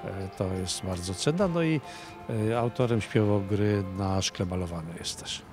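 A man's voice: deep voice, normal speed. A man in his sixties giving off-the-cuff answers in a street interview. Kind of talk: off-the-cuff vox pop answers. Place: outdoor city pedestrian street. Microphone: handheld interview microphone. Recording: music laid under street interview.

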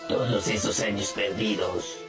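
A man's voice: fairly low pitch, steady tone, measured pace, far from the mic, music in the background, pitched below the performer's own voice. A man with a Costa Rican accent voicing a scheming, power-hungry male robot traitor. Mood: gloating. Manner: gloating, menacing.

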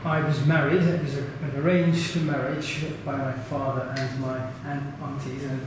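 A man in his twenties giving a halting, confessional monologue. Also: medium pitch, level tone, noisy background. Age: 20s. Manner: slow, halting. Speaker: stage actor. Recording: echoey hall from far off.

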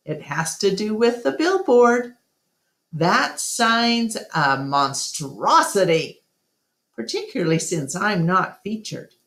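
A middle-aged woman reading a children's novel aloud in a deliberate, careful storyteller's delivery. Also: mid-range voice, expressive, fairly bright tone. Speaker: read-along storyteller.

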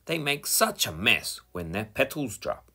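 Fussy, proper storybook pig mother, played by a male reader. Lilting and slow. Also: medium pitch, very animated, gravelly, sharp tone, slightly rough, heavily acted. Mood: prim.

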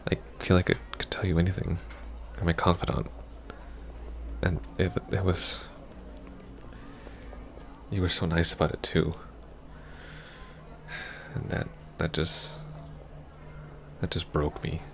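A man playing a heartbroken, earnest ex-boyfriend in an intimate ASMR roleplay, speaking haltingly and sometimes dropping to a near whisper. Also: deep voice, little pitch movement, a little hiss or hum, exaggerated voice. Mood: wistful, hurt. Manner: halting, hesitant, breathy half-whispers. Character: heartbroken, earnest young ex-boyfriend pleading for closure.

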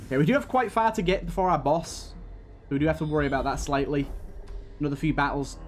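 Higher-voiced man doing game commentary. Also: fast, soft background music. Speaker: gaming video host. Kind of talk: casual let's-play commentary.

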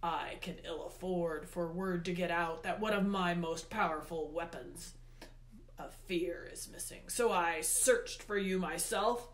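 Woman voicing a booming, furious underworld god, echoey. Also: lightly acted. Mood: bitter anger. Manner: booming, emphatic, drawn-out words. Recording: roomy, echoey indoor sound.

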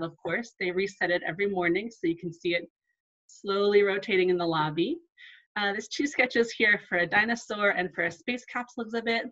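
A woman's voice: mid-range voice, fairly bright tone. Conversational woman presenting, lo-fi audio. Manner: conversational, with frequent ums and asides. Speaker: museum archivist. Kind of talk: presenting a live online talk.

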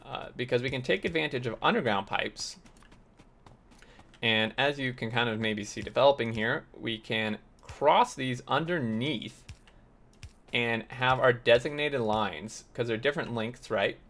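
Slightly hoarse guy walking thru a game tutorial, mid pitch, lots of uh and um, keys clicking behind. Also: measured pace, fairly bright tone. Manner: explanatory, many fillers and restarts. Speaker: let's play host. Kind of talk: tutorial gameplay commentary.

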